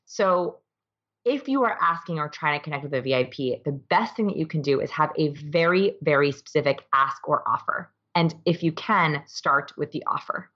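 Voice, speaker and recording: Female interview guest, low voice, explains things emphatically in a conversational way. Slightly muffled, lo-fi sound. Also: moderate pace, a bit bright. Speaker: interview guest, author and behavior researcher.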